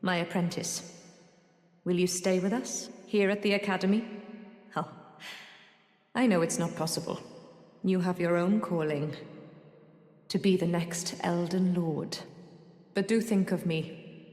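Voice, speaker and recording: A proud, scholarly sorceress from a fantasy video game acted by a woman. Her voice sits fairly low and moves slowly, with measured, formal, archaic phrasing. She addresses her pupil with fond, ambitious authority, and every word trails off into long hall reverb. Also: fairly even intonation, darkish tone, natural voice. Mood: fond, stately. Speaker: video game character voice actor. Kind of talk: scripted game dialogue.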